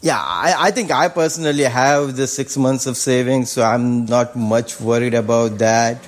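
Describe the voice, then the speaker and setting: A man's voice: mid-range voice, fairly even intonation, normal speed. A man of forty giving casual answers as an interviewed member of the public. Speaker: poll respondent.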